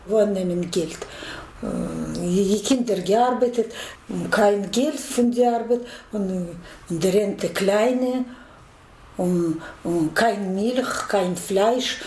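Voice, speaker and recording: An older woman from Ukraine with an Eastern European accent, giving a personal account in halting, broken short phrases. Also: medium pitch, warm tone. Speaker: documentary interviewee. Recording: echoey room with faint noise and clicks.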